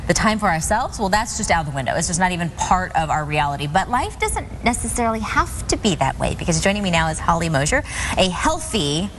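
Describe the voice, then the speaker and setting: A woman's voice: lowish voice, very animated, fairly quick, fairly bright tone, a little hiss or hum. Bubbly woman hosting a TV show. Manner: bubbly, chatty, enthusiastic interjections. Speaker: TV talk show host. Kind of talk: live TV interview hosting. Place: TV studio.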